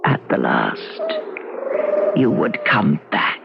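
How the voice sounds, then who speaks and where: A woman's voice: extremely low pitch, huge pitch swings, extremely slow, gravelly, over loud music, heavily acted. An actress playing an ageless, lovingly possessive ghostly woman in an intimate, cryptic radio drama style. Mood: tender, expectant. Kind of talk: old radio drama acting. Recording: dull, noisy old radio recording.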